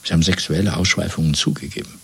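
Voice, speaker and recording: An actor playing a cold, controlled interrogator in a 1970s German radio drama, speaking in a measured, deliberate, menacing way. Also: male voice, rumbling low, fairly even intonation, gravelly, breathy edge, heavily acted. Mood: cold, accusing. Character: cold, controlled male Party interrogator and torturer.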